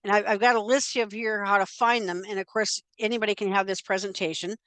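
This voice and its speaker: An older woman leads a relaxed genealogy talk, chatting along with plenty of little fillers. Her voice is smooth and clear. The sound is dry and close, with no room around it. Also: medium pitch, fast, no echo. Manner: conversational and chatty, with frequent fillers. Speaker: genealogy presenter. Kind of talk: informal slide presentation.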